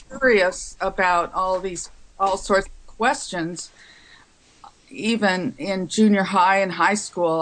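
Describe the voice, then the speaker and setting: An older woman, raised in Taiwan, answering interview questions as a psychologist in a calm, measured way. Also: on the low side, low-quality recording. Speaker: psychologist guest.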